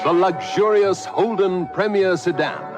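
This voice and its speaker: A high-pitched male announcer reads a scripted 1960s radio commercial. The old recording is dull and lo-fi, with music clearly behind him. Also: a little slow. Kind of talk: 1960s radio advertisement read. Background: advertising music.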